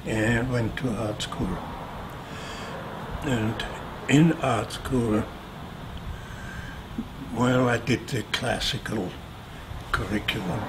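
An older man talks very slowly and deliberately, pausing and restarting as he explains his ideas. His voice sits in a middle pitch, and steady background noise hums under it. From Austria. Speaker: sculptor. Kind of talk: reflective interview answers. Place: indoor studio room.

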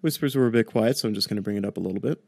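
Low-pitched young man, casual tutorial host. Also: very fast, creaky, heavy background noise. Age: 20s. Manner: informal, chatty explaining. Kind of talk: casual screen-recorded tutorial. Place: small home room, indoors. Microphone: close desk microphone on boom arm.

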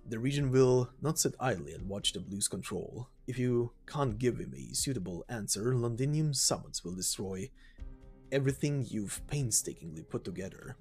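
A man voicing a stern, weary mercenary warrior who cautions gravely in an amateur reading of a game story. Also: mid-range voice, expressive, moderate pace, darkish tone, faint music behind. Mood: stern warning.

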